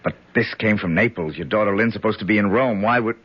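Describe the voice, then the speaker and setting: An actor playing a senator's tough younger aide, serious and clipped, in a 1970s radio drama. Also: male voice, lowish voice, quick pace, husky. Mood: tense, puzzled. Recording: dull 1970s radio recording.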